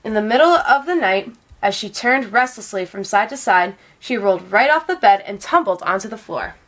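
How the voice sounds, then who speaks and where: Animated woman reading a storybook. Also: medium pitch, moderate pace. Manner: expressive storytelling. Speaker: storybook reader. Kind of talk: children's read-aloud narration.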